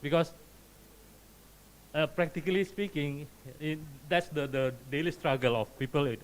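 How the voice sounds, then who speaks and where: A man's voice: on the high side, a little slow, slight background noise, low-quality recording. A man speaking hesitantly and tentatively as he puts a question from the floor, searching for his words. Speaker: audience questioner from an advocacy group.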